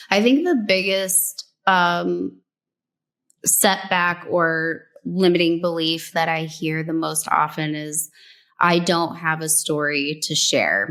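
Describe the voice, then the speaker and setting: Brief: woman from New Mexico, interview guest, low voice, unhurried, relaxed talk full of "like". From New Mexico, USA. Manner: relaxed, conversational, many "like"s and "um"s. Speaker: guest, brand story strategist. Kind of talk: casual interview chat, giving advice.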